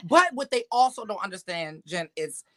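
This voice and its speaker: A woman's voice: medium pitch, animated, normal speed. A woman co-hosting a casual livestream chat, agreeable and playful.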